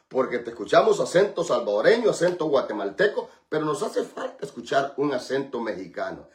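A man's voice: a little high, echoey room. A man hosting a radio prayer program, praying fervently with repeated invocations and chatting with listeners between prayers. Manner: fervent repetitive praying, then chatty and joking. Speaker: radio pastor.

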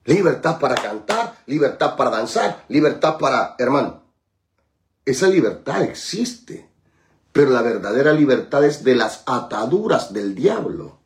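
A man preaching a sermon, calling on the congregation to repeat after him and slowing right down to stress scripture. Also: medium pitch, big pitch swings. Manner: preaching with call-and-response, dramatic slowed emphasis on scripture. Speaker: pastor.

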